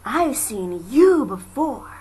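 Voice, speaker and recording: A woman's voice: fairly high pitch, sing-song, slow, a little mellow, breathy edge, exaggerated voice. A woman voicing a lazy, selfish, brazen female bird from a children's storybook, brash and exclaiming. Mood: breezy, surprised. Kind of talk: children's verse storybook read aloud. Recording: faintly noisy amateur home recording.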